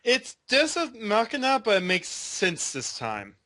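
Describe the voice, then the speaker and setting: Male panelist, high bright voice, combative and quick to object. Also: wildly swooping pitch. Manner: argumentative, quick to push back. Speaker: podcast co-host. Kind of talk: casual review discussion.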